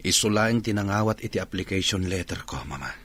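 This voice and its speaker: A humbled young man looking for work in a radio drama. His voice is very low and husky, and he speaks hesitantly and politely. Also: expressive, a little fast, a bit bright, heavily acted. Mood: earnest.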